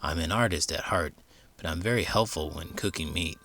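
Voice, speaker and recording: Man voicing a glum, low-voiced lump of coal. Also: normal speed, a bit bright. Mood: wistful. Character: glum, wistful lump of coal with artistic yearnings. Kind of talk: children's storybook read-aloud.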